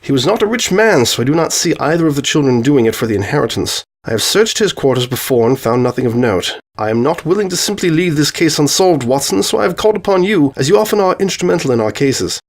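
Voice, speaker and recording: A man's voice: a little high, sing-song, fast talker, few pauses, voice pushed up. Guy doing a formal, self-assured detective from an audio story, declaiming his deductions, kinda theatrical and pensive. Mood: grave, businesslike.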